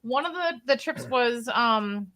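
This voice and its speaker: An enthusiastic woman hosts a casual interview with a bright, lively voice that swings up and down in pitch. She sounds chatty and eager. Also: a little slow, low-quality recording. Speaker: podcast host.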